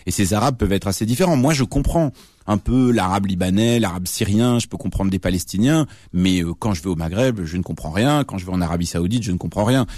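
A man in his late thirties with a very low voice, answering questions as a radio guest. He explains patiently, restarting sentences and filling pauses as he thinks. The sound is close and dry, with no room around it. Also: a little mellow, no echo. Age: late 30s. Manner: thoughtful, explanatory, frequent fillers and restarts. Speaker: guest, journalist and author. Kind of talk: radio interview.

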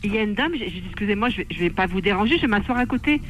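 A woman's voice: medium pitch, expressive, rapid-fire, low-quality recording. A woman calling in to a radio show, chatty and telling anecdotes. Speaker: radio caller. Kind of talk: casual chat on a call-in show.

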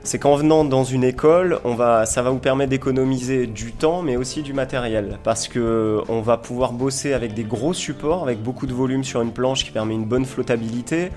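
A man from southern France giving an informal interview as a sports instructor, explaining with enthusiasm and frequent pauses. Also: medium pitch, darkish tone, faint music behind. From Montpellier, southern France. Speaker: foil school owner and instructor, interview guest.

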